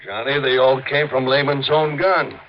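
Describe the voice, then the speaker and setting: An actor playing a brisk, accusing American police sergeant in a 1950s radio crime drama. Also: male voice, medium pitch, level tone, close to an everyday voice. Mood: urgent. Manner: brisk, hard-nosed. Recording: dull, noisy 1950s radio recording.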